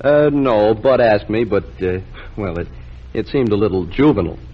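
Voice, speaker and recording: A man's voice: on the low side, animated, moderate pace, slightly rough. An actor playing a smug, worldly young man from the city who speaks with condescension, in 1940s radio acting. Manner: condescending, drawling. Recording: muffled old radio broadcast.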